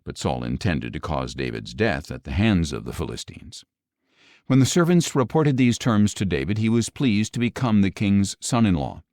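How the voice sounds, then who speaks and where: Male scripture narrator, lowish voice, very lively intonation, measured storytelling, dry close studio sound. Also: dry recording. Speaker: audiobook narrator.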